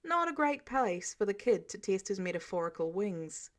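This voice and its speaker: A woman reading scripted fiction aloud as an expressive storyteller. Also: medium pitch, normal speed. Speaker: audiobook reader of fan fiction.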